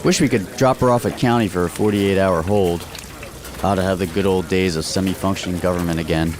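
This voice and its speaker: Casting: man to play a deep-voiced, dry-witted sergeant major, loyal and sparing with words, radio drama with crowd behind. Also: brisk, close to an everyday voice. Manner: laconic, deadpan. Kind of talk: post-apocalyptic radio drama acting.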